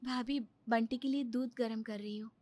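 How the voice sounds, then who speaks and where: A woman's voice: on the high side, steady tone, moderate pace, dark tone, dry recording, close to an everyday voice. A woman playing a meek, hurt sister and mother in a story, earnest and pleading.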